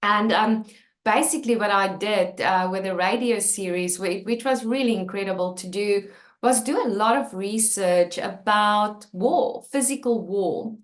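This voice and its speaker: South African woman author, Afrikaans first language, sincere and halting, roomy sound. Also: mid-range voice. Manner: candid and earnest, many fillers and restarts. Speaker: author and channel host. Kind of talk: personal talk about her book.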